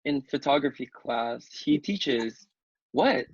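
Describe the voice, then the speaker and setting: A man acting a guarded, gentle, philosophical young guy in a table read, on a dull home mic. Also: mid-range voice, animated, slow, dry recording. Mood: defensive.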